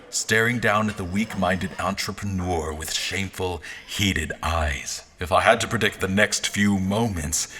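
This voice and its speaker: A man's voice: deep voice, pushed and bright. A man playing a haughty royal officer, narrating an audio drama in a dry, formal manner. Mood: astonished, wry. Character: haughty, observant male royal officer recounting events. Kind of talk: scripted first-person narration.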